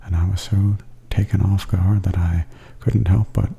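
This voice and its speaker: A man giving soft-spoken, hushed and often whispery ASMR commentary as a video host. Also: low voice, little pitch movement, moderate pace, gravelly, slightly rough. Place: voice-over added after filming.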